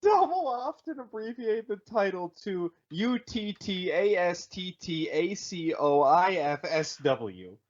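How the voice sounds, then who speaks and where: Male podcast co-host, very lively swooping delivery, casual, slightly muffled lo-fi recording. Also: high voice, slow pace, hardly any pauses. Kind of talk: casual chat.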